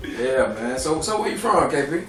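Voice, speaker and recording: A man's voice: on the high side, big pitch swings, moderate pace, fairly bright tone. A man hosting a podcast in a casual, laid-back, slangy way with a lot of laughing. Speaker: podcast host. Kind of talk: casual chat. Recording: echoey room, distant mic, music behind.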